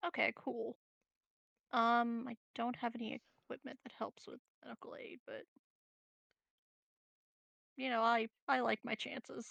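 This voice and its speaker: Slightly high, creaky-voiced woman playing a game. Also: flat delivery, measured pace, long pauses, fairly bright tone, no room sound, highs cut off. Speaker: tabletop game player. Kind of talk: casual chat during a game.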